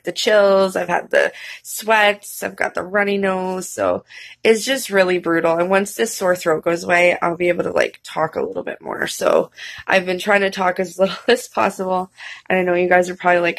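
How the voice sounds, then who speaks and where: A woman in her thirties with a head cold, talking casually to a webcam from her couch in a crusty, hoarse voice, rambling and apologetic with lots of "um"s. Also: on the low side, fairly bright tone, dry recording. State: congested with a head cold and a sore, croaky throat. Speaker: streamer and vlogger. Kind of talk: casual chat to camera. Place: living room, seated on a couch. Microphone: webcam at close range.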